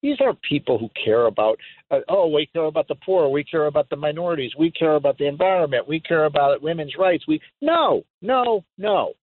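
Emphatic talk radio host, tinny line. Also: male voice, on the high side, fairly quick. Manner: emphatic, excitable, laughs often. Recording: tinny phone-like sound.

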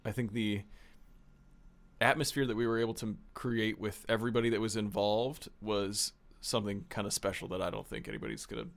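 Low, gravelly-voiced man hosting casually. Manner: relaxed, filler-heavy, laughs often. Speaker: podcast producer and host. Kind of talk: casual behind-the-scenes chat.

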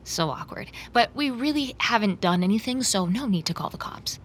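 An actress playing a composed, politely evasive young woman in an audio drama, measured and apologetic. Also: medium pitch, expressive, slight background noise, close to an everyday voice. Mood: awkwardly polite. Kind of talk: audio drama acting, contemporary dialogue.

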